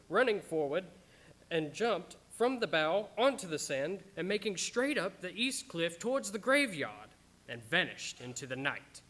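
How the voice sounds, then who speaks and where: Man as higher-voiced gothic horror narrator. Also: pushed and bright. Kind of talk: dramatic scripted radio play narration.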